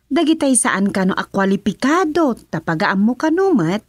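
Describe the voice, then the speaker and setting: An actress playing a practical village wife in a comedic radio drama, scolding and down-to-earth. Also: female voice, mid-range voice, big pitch swings, moderate pace, no echo, studio quality.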